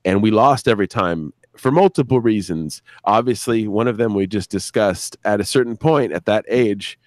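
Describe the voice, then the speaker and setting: Low-voiced man, rambling and casual. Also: no echo, clean studio sound. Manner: rambling, halting, repeats words, swears freely. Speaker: podcast show creator and writer, guest. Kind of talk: casual rambling live-stream chat.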